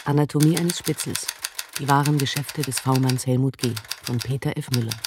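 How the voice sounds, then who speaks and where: A woman announcing a radio documentary in a crisp, formal manner. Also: a bass voice, steady tone, moderate pace, noisy background. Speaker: program announcer. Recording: typewriter clatter behind.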